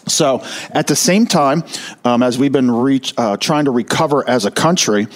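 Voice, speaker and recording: A middle-aged man talks listeners through retirement money matters in an energetic, emphatic way. He slows right down to stress each key figure. His voice sits at an ordinary middle pitch for a man. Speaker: retirement financial advisor. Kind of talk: explanatory talk to listeners.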